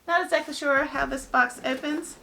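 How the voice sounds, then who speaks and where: A woman with a hoarse, raspy voice from a head cold, chatting casually through an unboxing with lots of ums. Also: sits high, fairly even intonation, normal speed, bright, forceful tone, a little hiss or hum. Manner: chatty, rambling, many ums. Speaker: book vlogger.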